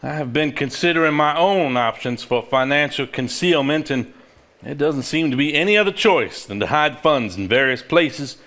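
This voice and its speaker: A man's voice: a little high, normal speed, fairly bright tone. An actor on stage playing an earnest, level-headed married man who speaks seriously. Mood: solemn reading aloud. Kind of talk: live stage play acting, reading aloud from a journal.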